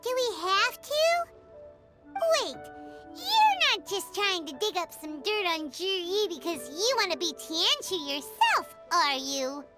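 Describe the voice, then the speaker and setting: A woman voicing a small, excitable fairy companion with a high, squeaky voice and childlike eagerness. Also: loud music behind the voice, exaggerated voice. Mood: skeptical. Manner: childlike and eager, quick to cheer.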